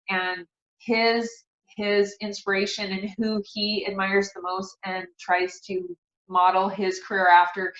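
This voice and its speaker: A woman's voice: medium pitch, fairly bright tone. A middle-aged woman speaking as an interview guest, sharing personal stories in an earnest, devout way. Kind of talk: casual personal interview, storytelling. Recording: dull, roomy sound.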